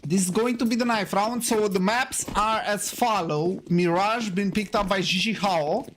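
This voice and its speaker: A man's voice: sits high, moderate pace, fairly bright tone, slight background noise. Romanian-accented man casting a live stream. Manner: chatty, repeats words, keeps adding "okay?" and "guys"; hyping up the viewers. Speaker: esports caster and stream host. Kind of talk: solo live stream commentary.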